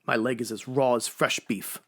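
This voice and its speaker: A man playing an earnest, exasperated costumed crime-fighter in a superhero radio drama, with a gravelly voice. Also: mid-range voice, fairly even intonation, moderate pace, a bit bright. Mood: weary, urgent. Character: earnest, weary male costumed crime-fighter, chained captive.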